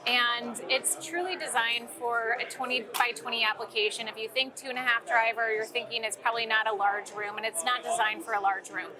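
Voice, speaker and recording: A woman with a fairly high voice explains products conversationally, as a guest in an interview. Event crowd chatter is clearly audible behind her. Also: fairly even intonation, brisk, hardly any pauses. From St. Louis, United States. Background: crowd at a sales meeting.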